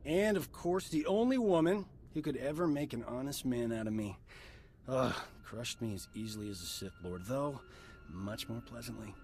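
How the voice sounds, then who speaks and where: A man's voice: mid-range voice, expressive, moderate pace, darkish tone, slight background noise, lightly acted. Man playing a cocky roguish game doctor. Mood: affectionate. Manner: glib, theatrical. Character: cocky, flirtatious male army-camp doctor, roguish charmer. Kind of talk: video game cutscene acting.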